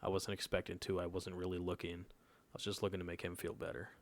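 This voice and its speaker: A man's voice: deep voice, monotone, fast talker. A nineteen-year-old man telling a personal story in casual podcast chat, laid-back and often checking in with his listeners. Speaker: podcast co-host.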